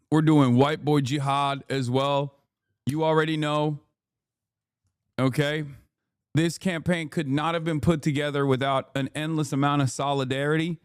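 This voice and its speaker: A man's voice: on the high side, clear, resonant tone, no echo, studio quality. Hyped Texan man streaming. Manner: hyped, profane, rambling banter. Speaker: streamer host. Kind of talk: live-stream commentary.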